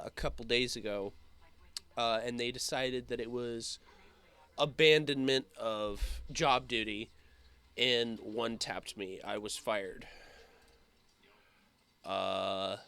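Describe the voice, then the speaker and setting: A young man who is sick with a sore throat, talking in a rambling, halting way full of fillers for his casual vlog update. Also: mid-range voice, bright, forceful tone. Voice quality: slightly rough, hoarse voice. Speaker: YouTuber.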